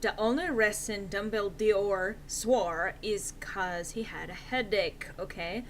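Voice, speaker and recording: A woman's voice: medium pitch, slow pace, a little hiss or hum. A woman reading a story aloud as narrator in a theatrical, mock-serious and emphatic comic style.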